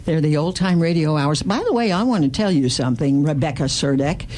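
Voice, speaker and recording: Effusive woman radio host, lively pitch. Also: fairly quick, slight background noise. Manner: effusive, complimentary, emphatic repetition. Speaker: radio host interviewing guests. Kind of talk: live radio interview chat. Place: radio studio. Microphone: studio microphone.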